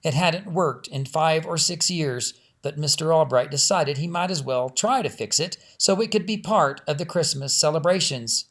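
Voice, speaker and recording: A man's voice: a little high, moderate pace, hardly any pauses, fairly bright tone. A man reading a family story aloud as scripted audiobook narration. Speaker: author reading own audiobook.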